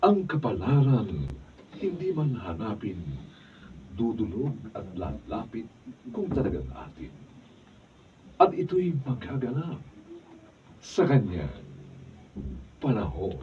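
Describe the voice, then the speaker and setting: A man's voice: mid-range voice, huge pitch swings, slow pace, long pauses. A Filipino man narrating a radio drama in a solemn, declamatory announcer style. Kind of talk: dramatic scripted narration, reading credits. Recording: dull distant mic, faint noise.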